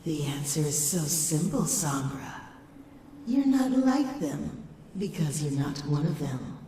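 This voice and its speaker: A woman's voice: deep voice, very animated, slow, dark tone, full character voice. A woman voicing a sinister, manipulative shadow creature in a comic dub, speaking in a coaxing, ominous manner. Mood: taunting, revealing. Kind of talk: dramatic comic dub acting. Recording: echoing hall reverb, distant, faint noise.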